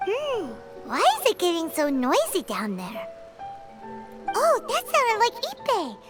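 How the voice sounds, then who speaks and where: A woman voicing a tiny, excitable female fairy companion in a game cutscene, bubbly and chatty. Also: extremely high pitch, a little slow, music in the background, exaggerated voice. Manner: talks about herself in third person, bubbly. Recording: game score loud behind.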